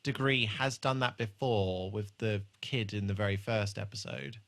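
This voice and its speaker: Bright-voiced man, low and sweary. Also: steady tone, normal speed. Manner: sweary, blunt. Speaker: podcast host. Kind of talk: casual chat, TV episode discussion.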